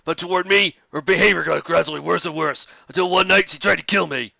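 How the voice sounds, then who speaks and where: A man's voice: high voice, fast talker, gravelly, bright, forceful tone, dry recording, dull audio, exaggerated voice. A man voicing a sorrowful, self-blaming husband who confides in a friend, in a dramatic fan fiction reading.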